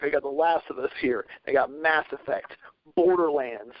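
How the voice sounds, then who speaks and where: A man on a podcast panel in casual discussion, rambling earnestly as he thinks out loud. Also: on the high side, no echo. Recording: dull, radio-like lo-fi audio.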